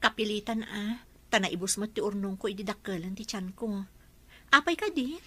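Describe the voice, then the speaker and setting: A woman's voice: medium pitch, moderate pace, bright, forceful tone. A young woman with an Ilocano accent playing a struggling, worried mother in an audio drama, speaking plaintively. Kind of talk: radio drama acting.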